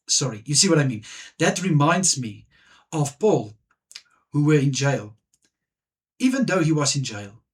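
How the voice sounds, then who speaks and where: A man whose first language is Afrikaans, preaching a devotional message in earnest, halting speech with frequent restarts. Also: a little high. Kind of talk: devotional sermon and prayer.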